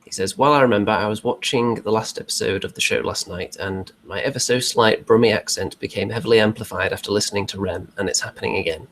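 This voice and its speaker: A man's voice: lowish voice, fast talker, hardly any pauses, fairly bright tone, slight background noise. A man co-hosting a hobby show in casual conversational chat.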